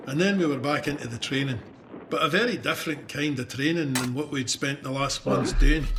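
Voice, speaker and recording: A man's voice: fairly high pitch, fairly bright tone, a little hiss or hum. An actor playing a plain-spoken Ayrshire farmer and war veteran, telling his memoir in a reflective, conversational Scottish voice. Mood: steady recollection. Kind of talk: dramatised first-person memoir narration.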